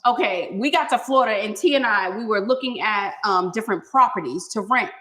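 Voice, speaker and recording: A young woman from Boston with a Boston accent chats on a live stream. She is expressive and a bit long-winded, and her voice sits at an ordinary pitch for a woman. She spills one story after another with easy laughter. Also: a little fast, low-quality recording. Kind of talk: casual chat.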